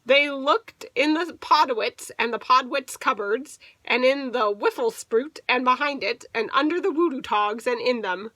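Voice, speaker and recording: A woman teacher reading a picture book aloud to children, playfully expressive, stretching words for emphasis and adding asides. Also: sits high, a little slow, hardly any pauses, no echo.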